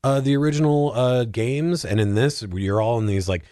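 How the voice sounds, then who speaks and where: Male podcast panelist, casual and rambling with a wry comic bent, middling pitch, dry room. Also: moderate pace, dark tone, no echo. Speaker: podcast panelist discussing films. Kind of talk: casual comedic chat.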